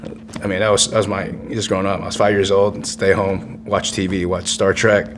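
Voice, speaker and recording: Man in his 50s from vietnam, very low voice, earnest storytelling, faint room noise. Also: flat delivery, a little fast. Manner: earnest storytelling with frequent restarts. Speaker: guest, congressional candidate. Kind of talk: podcast interview.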